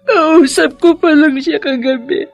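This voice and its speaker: A woman's voice: high voice, unhurried, creaky, no room sound, soft background music. A woman playing a grieving, stubborn middle-aged widowed mother in a Filipino radio drama, with a tearful, emotional delivery and a Filipino accent.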